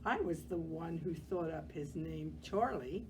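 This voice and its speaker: Slow-paced woman reading a picture book aloud. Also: deep voice, noisy background. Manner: gentle, expressive, pauses to comment on pictures. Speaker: library storyteller. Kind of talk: read-aloud children's picture book narration.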